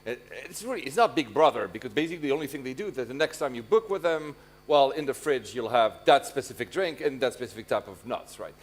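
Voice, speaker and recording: A man's voice: medium pitch, lively intonation, fast talker. A man whose first language is French, moderating a conference fireside chat with loose, rambling questions.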